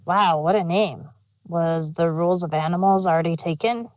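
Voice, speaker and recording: A woman's voice: on the low side, moderate pace, dry recording, natural voice. A woman voicing a naive, curious and slightly sassy little boy dragon in a fan fiction reading. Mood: deadpan sass.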